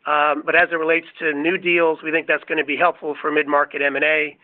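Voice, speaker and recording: Flat, higher-pitched man on phone line. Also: brisk. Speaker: company executive. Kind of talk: earnings call answer. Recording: tinny phone-line conference call.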